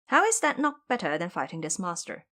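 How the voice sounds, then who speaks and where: A woman voicing a gentle, anxious male Buddhist monk master in an audio drama, speaking softly and mildly. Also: on the low side, sing-song, brisk. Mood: questioning. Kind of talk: audio drama character acting.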